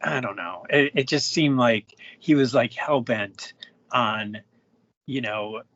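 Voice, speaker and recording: A man co-hosting a film podcast, talking casually in halting phrases with lots of restarts and "you know"s. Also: mid-range voice, a bit bright, no echo. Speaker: podcast co-host. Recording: dry, slightly dull podcast mic.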